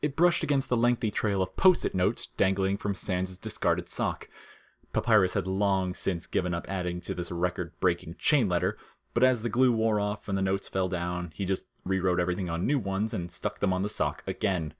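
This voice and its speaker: A man reading scripted comic fiction as narrator, in a deadpan, measured storytelling manner. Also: deep voice.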